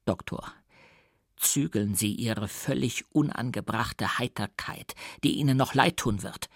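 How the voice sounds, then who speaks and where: Guy doing an angry threatening nobleman for an audiobook, gravelly, very proper and outraged. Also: mid-range voice, a little slow, no room sound, studio quality. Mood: furious, threatening. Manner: indignant, menacing, formal. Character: furious, threatening count trapped in another man's body. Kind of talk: dramatic audiobook character reading.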